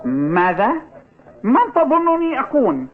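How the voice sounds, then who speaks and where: A man's voice: extremely high pitch, huge pitch swings, a little slow, heavily acted. A man playing an easily offended, jeering character in an Arabic cartoon dub. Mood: indignant. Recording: tinny, phone-like old TV dub audio.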